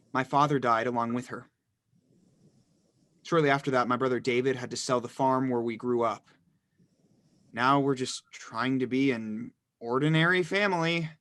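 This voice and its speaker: A man's voice: medium pitch, fairly bright tone, close to an everyday voice. A man playing a brooding, introspective teenage brother in a dramatic horror play reading. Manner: reflective, measured. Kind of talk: dramatic monologue in script reading.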